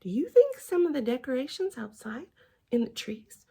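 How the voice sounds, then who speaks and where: A woman reading a picture book aloud to young children as a library storyteller, in a theatrical, expressive manner with chatty asides. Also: high-pitched, moderate pace, slightly rough.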